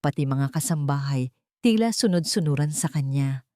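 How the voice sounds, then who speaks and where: A woman's voice: low voice, expressive, moderate pace, warm tone, dry recording. A formal, deferential male investigator reports to his client in a radio-style drama, acted by a woman narrator. The delivery is businesslike, and the recording is very dry. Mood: grave.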